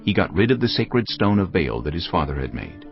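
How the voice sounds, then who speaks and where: Male scripture narrator, extremely deep, creaky, solemn, measured, dramatic. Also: very animated. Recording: dull lo-fi sound, music underneath. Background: faint music.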